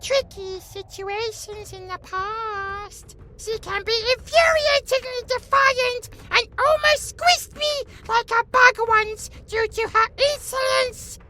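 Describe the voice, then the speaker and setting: A minute pixie monarch with a piping, childlike-high voice. The pixie speaks slowly, boastful and impish, with grand theatrical airs. It is a fantasy audio play with clear noise on the recording. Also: female voice, fairly even intonation, sharp tone, full character voice, poor audio. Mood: grave storytelling. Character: tiny regal pixie lord, cheeky and boastful. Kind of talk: fantasy audio drama acting.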